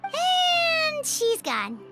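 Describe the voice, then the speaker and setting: A woman's voice: very high voice, wildly swooping pitch, extremely slow, pushed and bright, soft background music, heavily acted. A woman voicing a tiny, childlike fairy companion in a video game, with a cutesy, exaggerated and inquisitive way of talking. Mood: concerned, eager. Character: tiny, squeaky, childlike floating fairy companion, eager and concerned. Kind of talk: cartoonish video game voice acting.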